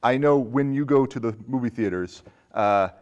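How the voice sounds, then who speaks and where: A man's voice: medium pitch, normal speed, a little mellow, dull audio. A man hosting a film talk show in a casual, conversational style. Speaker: film podcast host. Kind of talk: casual chat.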